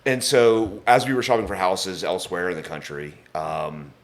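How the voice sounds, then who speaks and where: Brief: very low-voiced male guest, grew up in England, easy candid talker. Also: fairly even intonation, moderate pace. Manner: thoughtful, candid, with frequent fillers. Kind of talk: casual interview conversation. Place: home in Bozeman.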